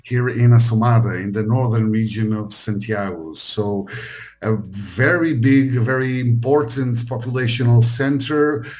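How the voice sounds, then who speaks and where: A middle-aged man from Portugal speaking as an interview guest, measured and pausing often with fillers, over a video call. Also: mid-range voice, darkish tone. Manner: measured, with frequent pauses and fillers. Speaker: university educator, interview guest. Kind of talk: conversational interview.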